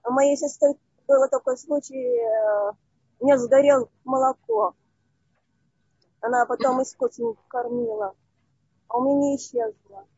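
A woman in her forties calling in to a live online session, telling a personal story haltingly with many broken-off words. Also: high voice, warm tone, slightly rough, no echo, dull audio. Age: 40s. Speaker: caller.

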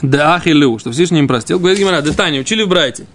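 A man with a fairly high voice and heavy creak leads an informal Talmud class. He reads out phrases and explains them, often repeating himself as he searches for the next words. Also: expressive, very fast, a bit bright. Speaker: Talmud teacher. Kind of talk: informal Talmud lesson, reading and explaining text.

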